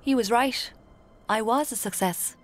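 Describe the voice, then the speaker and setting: Actress as slow, breathy young Irish dreamer. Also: female voice, fairly high pitch, a little hiss or hum, lightly acted. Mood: wry, confiding. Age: young adult. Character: dreamy, self-deluded young Irish woman, aspiring writer. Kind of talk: intimate first-person radio drama narration.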